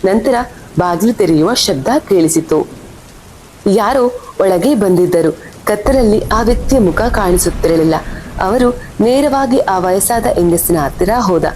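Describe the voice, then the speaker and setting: A woman's voice: lively intonation, a little slow, darkish tone, low-quality recording. A woman reading scripted horror story narration as the storyteller. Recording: faint rumble and rain behind voice.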